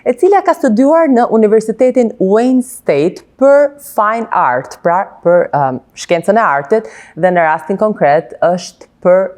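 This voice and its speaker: A woman's voice: mid-range voice, expressive, moderate pace, few pauses, a little mellow. A woman, hosting a TV interview and switching between languages, often hesitating with fillers. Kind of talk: bilingual TV interview.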